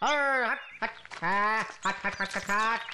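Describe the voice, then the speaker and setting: An actor playing an excitable, easily frightened village bullock-cart driver who exclaims and wails in rustic dialect in a cartoon. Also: mid-range voice, moderate pace, slight background noise. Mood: cheerful, urging. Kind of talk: cartoon voice acting. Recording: loud music behind the voice.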